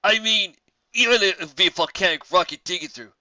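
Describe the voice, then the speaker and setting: A practical griffin officer in a fantasy story, voiced by a man. The tone is bright and polite, with formal military phrasing and a dry sound. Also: high voice, fast talker, no echo, muffled recording, voice pushed up. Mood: questioning. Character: brisk male griffin officer, practical and questioning.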